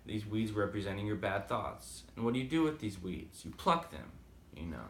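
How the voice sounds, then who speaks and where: A man's voice: lowish voice, animated, normal speed, reverberant, slight background noise. A man with a slight Boston accent talking casually and rambling with many fillers in a motivational vlog. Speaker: vlogger. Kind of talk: casual motivational talk to camera.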